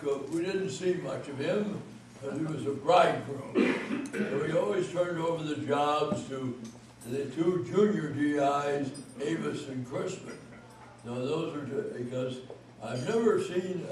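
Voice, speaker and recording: A man in his nineties talking at a dinner, halting, with many fillers and chuckles. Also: a little high, a little mellow. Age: 90s. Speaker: veteran speaking at a dinner. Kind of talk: rambling after-dinner remarks. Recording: echoey hall from far off, noisy.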